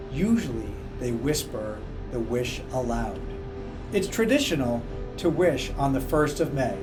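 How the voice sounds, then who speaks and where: A man reading a children's book aloud in a measured, expressive way. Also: fairly high pitch. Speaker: story reader. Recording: music playing behind. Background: background music.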